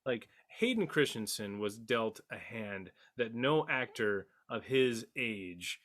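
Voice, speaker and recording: A man's voice: medium pitch, lively intonation, slow pace, fairly bright tone. A man talking emphatically and with strong opinions in a group discussion about a series, repeating phrases for emphasis. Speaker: podcast panelist.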